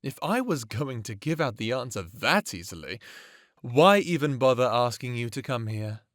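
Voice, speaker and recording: A man's voice: mid-range voice, very animated, normal speed, fairly bright tone, no echo, studio quality. A man acting a sadistic, playful vampire villain who taunts theatrically in an audio drama. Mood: smug, toying. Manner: taunting, theatrical, toying with words.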